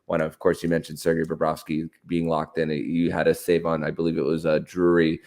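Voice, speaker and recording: Male sports podcast host, low voice, fairly flat intonation, halting conversational analysis with repeated words. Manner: halting, stammering repetition of words.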